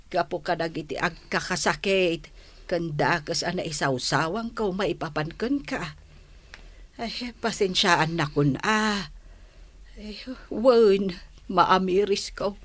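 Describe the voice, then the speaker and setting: A woman playing a tactless village gossip in an Ilocano radio drama, with plaintive, drawn-out interjections. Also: fairly low pitch.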